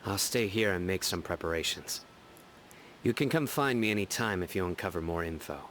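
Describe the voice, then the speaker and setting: An actor playing a stern, composed young investigator in a video game, measured and serious. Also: male voice, low-pitched, animated, fairly bright tone, a little hiss or hum. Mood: grave, determined. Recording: game music behind.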